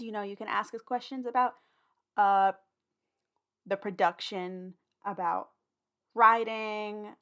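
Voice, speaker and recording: A woman with a medium-pitched voice hosts a podcast. She chats informally to her listeners, giving announcements in a casual way. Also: fairly bright tone. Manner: chatty, informal. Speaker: podcast host and producer.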